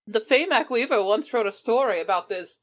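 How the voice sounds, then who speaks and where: Very animated woman hosting playful banter. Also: fairly high pitch, fairly quick. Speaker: player hosting an in-game book club. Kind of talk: casual improvised role-play banter.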